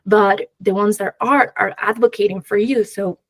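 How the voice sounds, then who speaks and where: A woman with a Peruvian accent, talking as a guest expert in a conversational interview, halting and often laughing lightly mid-sentence. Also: mid-range voice, steady tone, fairly bright tone. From Peru. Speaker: guest expert, venture capital professional.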